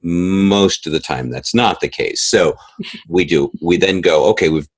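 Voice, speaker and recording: Low-voiced man explaining his creative process. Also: measured pace, slightly rough, no echo. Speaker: composer and sound editor of an audio drama. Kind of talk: interview, conversational explaining.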